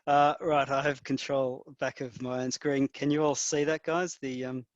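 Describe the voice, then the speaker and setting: A man's voice: mid-range voice, flat delivery, moderate pace, dry recording. A man hosting an online event, introducing speakers in an upbeat way with lots of fillers. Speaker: event host. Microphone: remote video call. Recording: dull lo-fi call audio.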